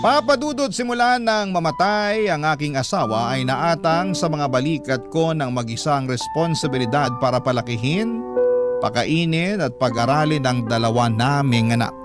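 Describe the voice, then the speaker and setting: A male radio storyteller with a Filipino accent reads a letter in dramatic narration. His voice sits a little high for a man, and music plays clearly behind him. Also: lively intonation, moderate pace. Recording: music bed under the voice. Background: prominent music.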